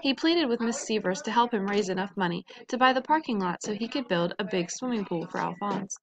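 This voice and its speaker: A woman's voice: mid-range voice, fairly quick, a crowd in the background, rough recording. A woman reading a children's story aloud in a narrating style. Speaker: storybook reader.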